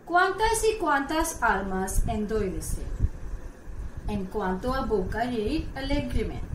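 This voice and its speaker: A young adult woman reading a poem aloud in a recited style. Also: on the high side. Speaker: poetry reader. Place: indoor room at home. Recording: roomy, distant, noisy phone recording.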